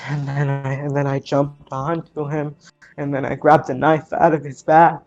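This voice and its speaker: A man's voice: a little high, level tone, normal speed, darkish tone, muffled recording, faint music behind, close to an everyday voice. A man voicing a distraught, guilt-ridden teenage orphan girl in a tabletop game, stammering and repeating words. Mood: shaken confession.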